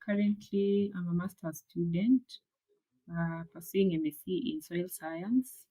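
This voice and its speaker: A woman's voice: on the low side, measured pace, dark tone, poor audio. A woman from Kenya, a master's student answering interview questions in an earnest, passionate way.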